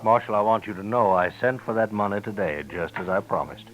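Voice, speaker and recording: A man playing a deep-voiced slick con man. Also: fairly quick, natural voice. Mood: smooth. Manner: polished, oily politeness. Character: smooth con man, grown man, slick and evasive. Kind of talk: 1950s radio drama acting. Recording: lo-fi old radio broadcast.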